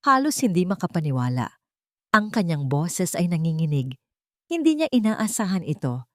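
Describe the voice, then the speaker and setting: A woman's voice: fairly low pitch, a little mellow, no echo, clean studio sound. A woman reading scripted, dramatic narration for a romantic audio drama.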